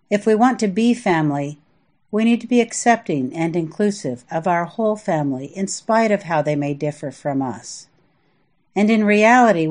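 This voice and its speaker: An American woman from the Midwest reading her own reflective essay aloud in a measured, earnest manner. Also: medium pitch, a little mellow. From US Midwest (Indiana and Iowa). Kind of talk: reflective scripted narration.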